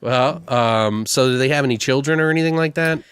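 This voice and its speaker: A man's voice: medium pitch, fairly quick, fairly bright tone, smooth, dry recording. A man co-hosting a podcast in casual comedic brainstorming, rambling and joking crudely. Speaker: podcast co-host.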